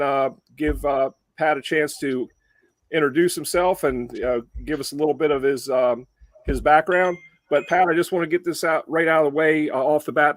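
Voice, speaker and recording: A man's voice: fairly high pitch, no echo. A man with a Mid-Atlantic Delmarva accent hosting a casual firefighter webcast, chatty with lots of uh and um. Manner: chatty, many fillers. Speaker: webcast host.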